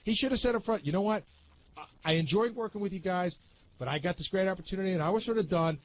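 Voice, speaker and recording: A man's voice: high voice, fast. A man in a heated, casual radio panel discussion, arguing bluntly and with some profanity. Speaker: radio show staff panelist.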